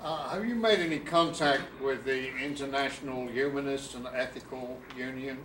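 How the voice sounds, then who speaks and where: A man in the crowd asks his question at a middle pitch, pausing on fillers. He is heard from a distance in a roomy space with faint ambience. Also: fairly bright tone, a little hiss or hum. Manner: hesitant, many fillers. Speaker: audience member. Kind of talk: asking questions at a talk. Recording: far from mic, faint ambience.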